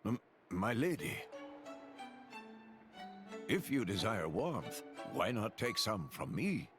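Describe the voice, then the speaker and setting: A devoted furry beast companion from a video game. He speaks slowly and formally in a low register, earnest and deferential, over clear background music. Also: big pitch swings. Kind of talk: video game cutscene acting.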